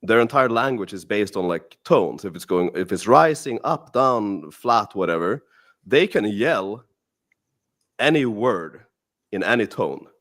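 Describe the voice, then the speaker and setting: A man with a somewhat low voice gives blunt, emphatic opinions in podcast banter. He swears often and states things flatly. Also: measured pace. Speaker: dating coach. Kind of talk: casual podcast chat.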